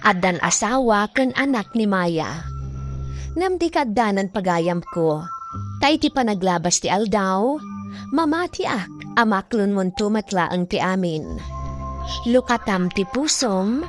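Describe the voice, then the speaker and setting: A woman's voice: medium pitch, animated, a little slow, pauses often. Ilocano woman narrating a radio drama, music behind. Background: faint music.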